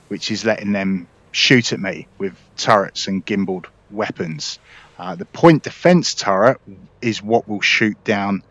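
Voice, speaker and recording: A man with a lowish voice talks casually through a game walkthrough. His delivery is informal and explanatory. The recording is close and dry, with a slightly muffled top end. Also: a bit bright, no room sound. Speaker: gaming video host. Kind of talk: casual gameplay commentary and walkthrough.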